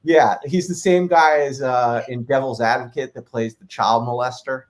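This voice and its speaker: A man with a mid-range voice chats casually about sports. His talk is relaxed and rambling, full of small fillers and hedges. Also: medium pitch, normal speed. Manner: relaxed, hedging with "like" and "just". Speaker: podcast co-host.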